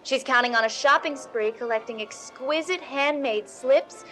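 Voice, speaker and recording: A woman's voice: high voice, normal speed, fairly bright tone, faint music behind. A woman playing a chirpy 1950s Hollywood fashion reporter, giving polished, gushing scripted radio ad patter.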